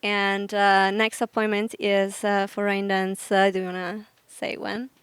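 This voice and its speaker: Woman host, smooth clear voice, dry close sound, live event wrap-up, thanking everyone. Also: mid-range voice, level tone, measured pace, fairly bright tone, no echo. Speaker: host, festival director. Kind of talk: hosting a live talk event.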